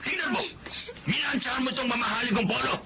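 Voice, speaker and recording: An actor with a Filipino accent playing a cruel, hot-tempered, greedy uncle in a radio drama, blustering and mocking. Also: male voice, very high-pitched, fast, vocal fry, very noisy. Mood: furious.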